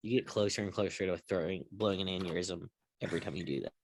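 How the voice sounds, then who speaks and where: Man, low voice, fast talker, dry and snarky, casual storytelling, close dry recording. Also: a little hiss or hum. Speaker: sports show panelist.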